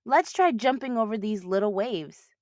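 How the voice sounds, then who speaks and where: A fussy, playful young girl from a storybook , as a woman reader puts her on. Her speech is expressive and swoops up high, recorded close and dry. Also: normal speed, no echo, lightly acted. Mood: cheerful. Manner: expressive, squealing when excited.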